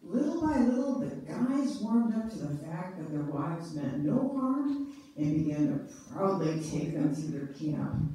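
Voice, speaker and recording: A woman narrates a stage reading with animated intonation. Her tone is mellow. The sound is distant and echoing, with slight noise. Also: lowish voice, measured pace. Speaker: narrator in amateur reader's theater. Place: church sanctuary, live stage reading. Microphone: distant audience camera. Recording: echoey church hall from far off, noisy.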